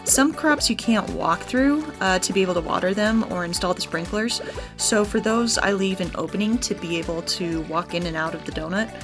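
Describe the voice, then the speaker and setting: A woman's voice: lowish voice, moderate pace. A woman hosting a gaming video, giving chatty, explanatory let's play commentary in a casual style. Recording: background music under the voice. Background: game music.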